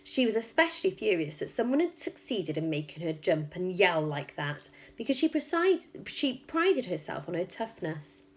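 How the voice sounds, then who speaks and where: A woman reading a children's story aloud like a teacher, with expressive, emphatic and suspenseful narration. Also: fairly low pitch, moderate pace, few pauses.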